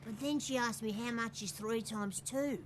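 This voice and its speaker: Cheeky high-voiced little boy, played by a woman. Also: normal speed, slight background noise, close to an everyday voice. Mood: matter-of-fact. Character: cheeky, matter-of-fact, foul-mouthed little schoolboy. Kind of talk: animated comedy voice acting.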